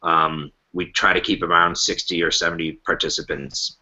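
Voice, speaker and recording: A man's voice: low-pitched, fairly even intonation, moderate pace, pushed and bright, highs cut off. A man explaining things casually in conversation as a podcast guest. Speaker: podcast guest, improv festival organiser.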